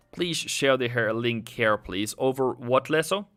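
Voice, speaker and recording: A man's voice: mid-range voice, fairly bright tone. A man hosting a casual trading livestream, chatting and joking sarcastically with viewers.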